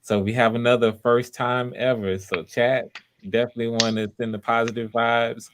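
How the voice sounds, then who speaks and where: A man hosting live auditions, giving casual, encouraging coaching in a halting way with repeated phrases. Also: mid-range voice, level tone, very noisy. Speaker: host of live voice auditions, director giving notes.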